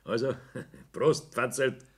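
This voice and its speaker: An actor playing a self-serving middle-aged building contractor, chummy and calculating, with a Bavarian accent. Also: male voice, medium pitch, slow, husky. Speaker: actor in a radio play. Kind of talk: acted dialogue in a regional satire.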